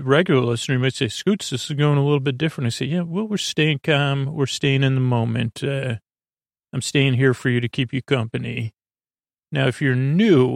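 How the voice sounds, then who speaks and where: A man with a creaky voice hosting a bedtime podcast in a soothing, meandering monologue that halts and loses its thread. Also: mid-range voice, no room sound, clean studio sound. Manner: meandering, halting, losing his thread; soothing, lulling. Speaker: podcast host.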